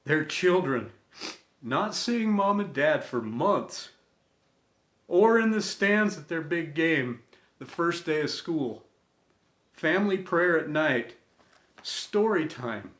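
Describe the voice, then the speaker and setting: A middle-aged American man reading a scripted inspirational tribute in an earnest, reverent way, with deliberate phrasing and dramatic pauses. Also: fairly high pitch, animated, fairly bright tone. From United States. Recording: echoey room sound.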